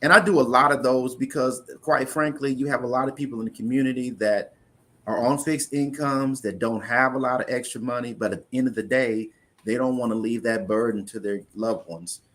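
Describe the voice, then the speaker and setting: A man's voice: mid-range voice, steady tone, fairly quick, fairly bright tone. A man in an interview, earnestly explaining money matters in a conversational way, often restarting his sentences. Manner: earnest, explanatory, repeats words when restarting. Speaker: insurance investment guest.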